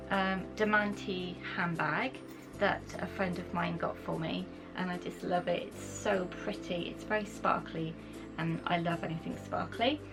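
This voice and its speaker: A young British woman, croaky from a cold, chatting enthusiastically as she shows off her things to camera. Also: mid-range voice, poor audio. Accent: British English. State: croaky from a cold, sore throat. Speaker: vlogger. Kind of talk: casual chat to camera. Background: background music.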